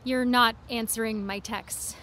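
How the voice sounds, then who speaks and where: An actress playing a pushy, well-meaning teenage best friend in a naturalistic audio drama, earnest and insistent. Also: female voice, on the high side, steady tone, measured pace, bright, forceful tone. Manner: insistent, earnest, problem-solving.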